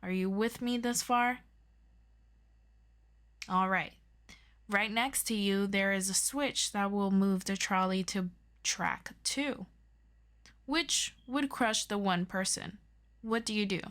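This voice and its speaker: An earnest young superhero sidekick acted by a woman in an audio roleplay, talking straight to a close friend like a patient tutor. The voice is medium in pitch and takes its time, yet stays chatty, excitable and expressive. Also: takes it slowly, long pauses. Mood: encouraging, playful. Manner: chatty and expressive, with interjections and sighs. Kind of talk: ASMR audio roleplay, scripted one-sided conversation.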